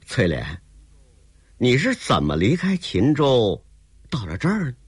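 A man playing a proud hot-tempered young gentleman. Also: medium pitch, wildly swooping pitch, slow pace, slightly rough, no room sound, clean studio sound, heavily acted. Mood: brusque. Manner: brusque, scoffing.

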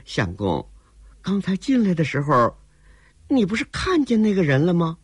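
A male storyteller voicing a soft, pleading young courtesan. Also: high-pitched, huge pitch swings, normal speed, slightly rough, no room sound, pitched above the performer's own voice, heavily acted. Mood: worried. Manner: coaxing, tearful pleading.

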